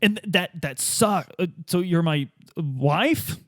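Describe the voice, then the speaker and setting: A man's voice: high voice, expressive, slow, dry recording, clean studio sound. A man taking part as a player in a tabletop game, speaking in casual, improvised roleplay.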